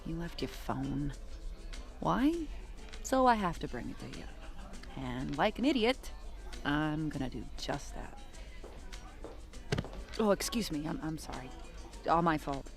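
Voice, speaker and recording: A tough, troubled female detective in a suspense audio drama. She speaks slowly and haltingly, as if muttering to herself, over prominent music. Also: low voice, very animated, creaky, heavily acted. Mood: exasperated, muttering.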